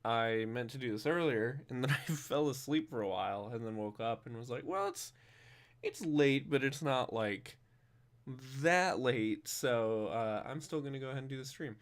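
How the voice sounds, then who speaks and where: A man's voice: mid-range voice, lively intonation, moderate pace. A man streaming a driving game, chatting casually after just waking up, slightly nasal and drowsy, rambling with many fillers and restarts.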